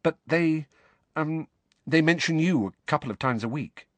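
A man reading an audiobook, voicing an anxious, clever teenage girl who explains things rapidly and earnestly. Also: on the high side, no echo, voice pushed up, close to an everyday voice. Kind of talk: audiobook character voice.

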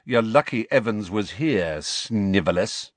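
A man's voice: fairly low pitch, lively intonation, unhurried, no echo. A man voicing a cocky, hot-headed teenage schoolboy in a storybook, with a brash, emphatic delivery. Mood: smug.

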